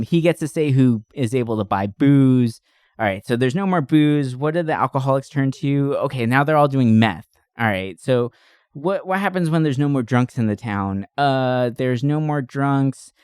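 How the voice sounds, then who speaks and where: A young adult man hosting a podcast, recapping movies in a casual, comedic way with animated storytelling, swearing and slangy asides. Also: mid-range voice, smooth, no room sound, clean studio sound. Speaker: podcast host.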